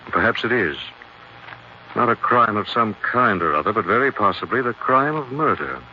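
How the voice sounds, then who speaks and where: An actor playing a suave gentleman detective with an American accent and a polished, insinuating delivery in a 1940s radio drama. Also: lowish voice, animated, moderate pace, close to an everyday voice. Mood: pointed, suave. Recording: dull, hissy 1940s radio recording.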